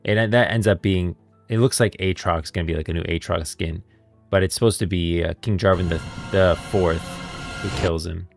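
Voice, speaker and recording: A man doing live stream commentary on a game's story, speculating excitedly and addressing his viewers. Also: low-pitched, moderate pace, gravelly. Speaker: streamer and game lore commentator. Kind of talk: live stream commentary reacting to game story, reading dialogue aloud. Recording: background music under voice. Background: faint music.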